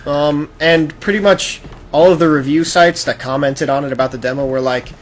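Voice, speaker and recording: A man's voice: on the high side, steady tone, normal speed. A man hosting a gaming podcast, talking casually and explaining at rambling length while a video game is played, sometimes acting out imagined quotes. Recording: noisy amateur podcast recording with game audio. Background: video game sound.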